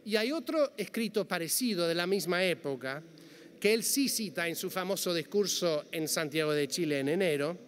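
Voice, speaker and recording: A man giving a prepared, measured talk as a panel speaker, reading carefully and quoting passages, speaking Spanish as a to a conference audience. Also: sits high, expressive. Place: large conference hall. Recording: long hall reverb, faint background noise.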